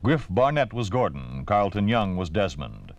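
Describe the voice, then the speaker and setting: A man's voice: sits low, very animated, normal speed, vocal fry, dry recording. An American man reading a formal, solemn script as a 1950s radio announcer. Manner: solemn, declarative. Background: music.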